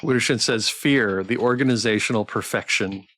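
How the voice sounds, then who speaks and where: A man streamer hosting a casual developer Q&A, phrasing his questions in a halting, rambling way. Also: mid-range voice, pushed and bright. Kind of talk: casual, unscripted interview chat.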